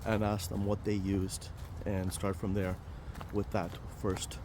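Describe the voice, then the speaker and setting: A man chats his way through a casual outdoor vlog, wandering from point to point with plenty of fillers and restarts. His voice is mellow and warm, and a faint hum of outdoor noise and traffic sits under it. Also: fairly low pitch, monotone, slow pace. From Romania. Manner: rambling, chatty, many fillers and restarts. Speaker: vlog host, financial planner. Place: outdoors in a park near a highway.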